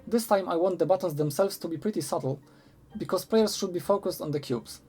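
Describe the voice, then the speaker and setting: Guy with a soft mellow voice explaining steps, kinda husky, music behind him. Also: sits high, brisk. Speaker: tutorial narrator. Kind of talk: scripted instructional narration.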